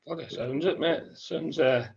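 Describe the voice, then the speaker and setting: Gravelly, husky male interviewer. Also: a little high, unhurried, a little mellow. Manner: short reactive comments. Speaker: interview host. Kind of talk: casual interview reactions. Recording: dull lo-fi audio.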